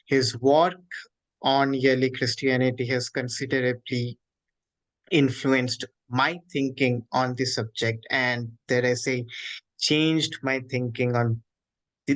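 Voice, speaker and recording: A man from India with an Indian accent, hosting a formal scholarly interview in halting, deliberate phrases. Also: mid-range voice. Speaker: interviewer, host.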